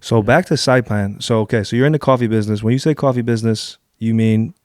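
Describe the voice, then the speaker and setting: A man's voice: medium pitch, fast talker, a little mellow, dry recording, studio quality. A man hosting a podcast interview, prompting his guest with short, encouraging remarks and questions. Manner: encouraging, brief affirmations. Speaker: podcast host. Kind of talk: casual interview.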